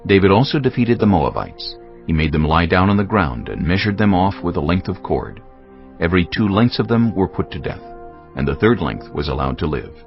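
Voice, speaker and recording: A man with an extremely deep, creaky voice narrates scripture. His delivery is solemn and deliberate yet moves widely in pitch, like a storyteller reading a script. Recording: dull lo-fi audio over music.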